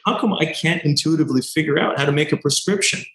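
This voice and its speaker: A doctor and founder in his thirties, telling stories in an animated way and acting out quoted exchanges, with lots of "you know" fillers. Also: on the high side, fast talker, creaky, roomy sound. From Canada. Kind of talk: conversational interview answers.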